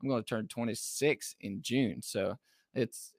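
A man's voice: mid-range voice, measured pace, breathy edge, no room sound. Young male podcast host, 20s; eager, affirming, dead-dry mic sound. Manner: upbeat, eager, with constant affirmations. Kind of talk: interviewing, casual chat.

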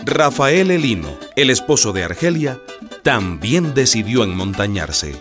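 A radio-drama narrator tells revolutionary history. His mid-pitched voice moves with lively intonation, and music plays clearly underneath. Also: measured pace. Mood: narrating.

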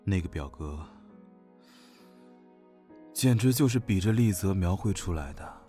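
Man acting a low, lively young military general. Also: unhurried, soft background music. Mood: musing, troubled. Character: young male alpha general, about 29, familiar, musing. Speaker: voice actor. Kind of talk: modern audio drama acting.

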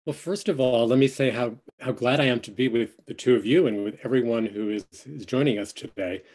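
Casting: man in his 60s, congested and nasal, halting guest speaker on a video call. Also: medium pitch, a little mellow, husky. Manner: hesitant, many ums, self-deprecating. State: stuffy, nasal from a cold. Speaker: guest author. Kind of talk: virtual event talk.

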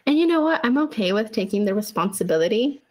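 A young woman from Chihuahua, Mexico, co-hosting a casual podcast and telling animated stories with laughs and swearing. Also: mid-range voice, quick pace. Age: young adult. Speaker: podcast co-host. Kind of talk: casual chat.

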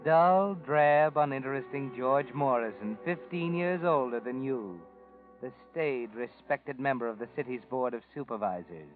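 A man's voice: mid-range voice, lively intonation, normal speed. Knowing male narrator, tinny old broadcast with music underneath. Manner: knowing, probing. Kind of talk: second-person radio drama narration.

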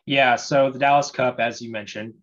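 A man's voice: mid-range voice, steady tone, normal speed, fairly bright tone. A young man, a referee guest, talking casually in an interview with lots of hesitant fillers. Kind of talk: casual video-call interview. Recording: dull lo-fi sound.

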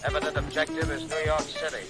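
An American actor playing a grave radio reporter in a 1930s radio drama, with a clipped, telegraphic delivery. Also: male voice, on the high side, flat delivery, fast, loud music behind the voice, close to an everyday voice. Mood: grave, urgent. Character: grave male radio reporter of an alien invasion. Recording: old radio broadcast under hip-hop instrumental. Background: loud instrumental hip-hop DJ mix.

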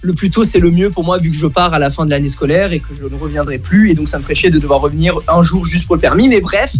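A young man, a student, chatting casually and complaining in a fast, animated way. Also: a little high, faint music behind. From Baden-Württemberg, Germany. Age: young adult. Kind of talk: casual chat.

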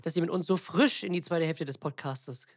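A man's voice: on the high side, a little fast, dry recording, clean studio sound. A young man co-hosting a podcast, chatting in a colloquial, joking way. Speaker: podcast co-host. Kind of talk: casual chat.

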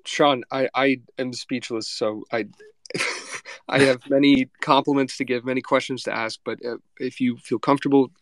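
A man's voice: mid-range voice, fairly even intonation, moderate pace. A man hosting a podcast interview, friendly and effusive, speaking over a video call. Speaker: podcast host. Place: Los Angeles.

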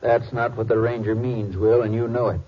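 An actor playing a steady, no-nonsense man with a raspy voice in a 1950s radio drama. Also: medium pitch, normal speed, lightly acted. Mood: firm. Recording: lo-fi old radio recording.

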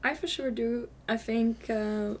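A woman's voice: on the high side, fairly even intonation, unhurried. A young woman, a student panelist, chatting casually about her own experiences. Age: young adult. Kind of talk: casual chat.

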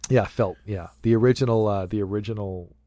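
A man in his late forties talks through a film on a podcast, his voice sitting low. He rambles, restarts sentences and drops little laughing asides. The sound is close and dry with no room around it. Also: fairly quick, mellow, dry recording. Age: 40s. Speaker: podcast co-host. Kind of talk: casual movie commentary chat.

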